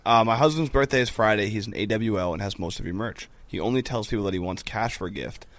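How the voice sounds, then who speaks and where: A man's voice: lowish voice, quick pace, a bit bright, dry recording. A man on a podcast reading listener questions aloud in a casual style.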